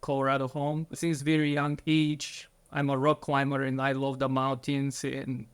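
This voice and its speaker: A man's voice: mid-range voice, monotone, moderate pace, clear, resonant tone. A man from Bulgaria, in his thirties, earnestly telling his story as an interview guest, with slightly phrasing.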